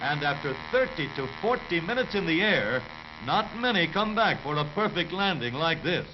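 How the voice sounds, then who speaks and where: Animated 1930s American newsreel man, high-pitched. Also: normal speed, background noise. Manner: jaunty, dramatic showman delivery. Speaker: newsreel narrator. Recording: dull, humming 1930s newsreel soundtrack.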